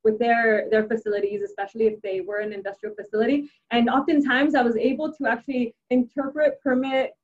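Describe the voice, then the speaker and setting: Young adult woman, native Spanish speaker, upbeat engineer guest; slightly high voice, dull lo-fi sound. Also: steady tone, brisk, hardly any pauses. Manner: many restarts and fillers, upbeat. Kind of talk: casual interview answers.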